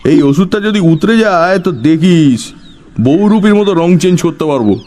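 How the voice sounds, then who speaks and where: Man doing an eccentric village crank, pitched high. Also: lively intonation, moderate pace, darkish tone, slight background noise. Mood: knowing, teasing. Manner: emphatic, quizzing, teasing. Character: eccentric, half-crazy poor village man, cryptic and knowing. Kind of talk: dramatised storytelling.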